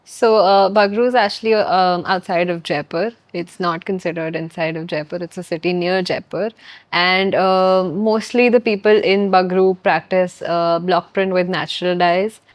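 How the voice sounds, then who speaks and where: Young Indian-accented woman, lowish register, casual explainer delivery, dry recording. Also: fairly even intonation, normal speed, no echo. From Delhi, India. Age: young adult. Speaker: design student explaining a craft. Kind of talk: casual explanatory talk to camera and conversation.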